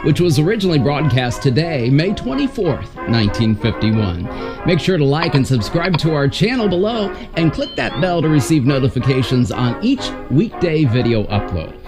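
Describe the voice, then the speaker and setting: A man's voice: a little high, sing-song, normal speed, over music. A man hosting with scripted, announcer-style narration. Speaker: host. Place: voice-over with music behind.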